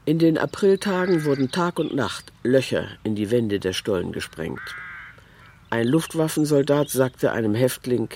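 Male documentary narrator, medium pitch, scripted delivery with faint background noise. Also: big pitch swings, moderate pace, a little mellow.

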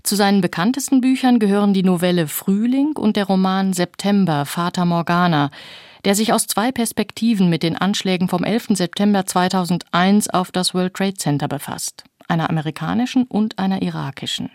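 A woman presenting a literary radio programme in an articulate, measured, scripted way, close to a studio microphone. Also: medium pitch, darkish tone, no echo. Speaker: radio host and interviewer.

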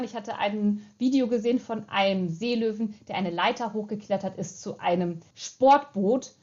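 A woman explaining as an animal expert in a spontaneous interview answer. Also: fairly high pitch. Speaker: seal expert. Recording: slightly dull, lo-fi recording.

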